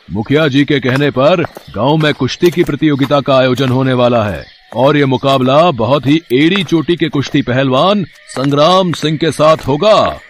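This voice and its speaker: A man's voice: fairly high pitch, slight background noise, lightly acted. A man playing a village crier in a story, making a formal public proclamation.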